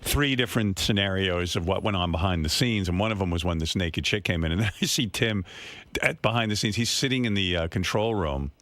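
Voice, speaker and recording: A radio host chats casually and retells office stories with wry amusement. His voice sits fairly low and is recorded dry and close. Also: animated, brisk, fairly bright tone, dry recording. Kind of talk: casual on-air chat.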